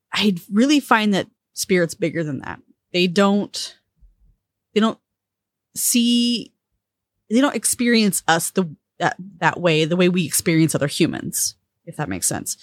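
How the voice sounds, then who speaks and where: A woman's voice: fairly low pitch, fairly bright tone, dry recording. A woman co-hosting a podcast, talking in a reflective way as she searches for her words. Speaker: podcast co-host. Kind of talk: casual conversation.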